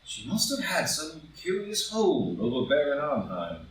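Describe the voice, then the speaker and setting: Guy acting worried proud politician, melodramatic and earnest, highish voice, super echoey room. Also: huge pitch swings, unhurried. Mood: determined, urgent. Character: anxious, proud married statesman threatened by scandal. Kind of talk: live read-through of a stage play as audio drama. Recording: very echoey room, distant mic, faint noise.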